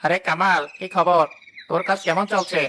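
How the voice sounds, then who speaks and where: A man's voice: high-pitched, flat delivery, moderate pace, bright, forceful tone, a little hiss or hum. A man playing a frank, wise village uncle who speaks earnestly in a moral-story cartoon. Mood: friendly. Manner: earnest, admonishing. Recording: instrumental music under the voice.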